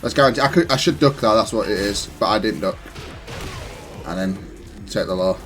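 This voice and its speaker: A man's voice: lowish voice, a little fast, fairly bright tone. A man giving casual, analytical commentary on his own gaming match, explaining his decisions with some self-correction. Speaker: gamer reviewing own match. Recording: music playing behind the voice. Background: music.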